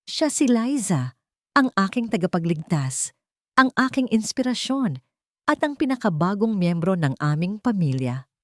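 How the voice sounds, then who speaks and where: A woman's voice: medium pitch, sing-song, unhurried, fairly bright tone, no echo, studio quality. A woman voicing a dignified, proud elderly matriarch in a story, speaking formally and with stately poise. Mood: proud, affectionate. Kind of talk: storybook character dialogue.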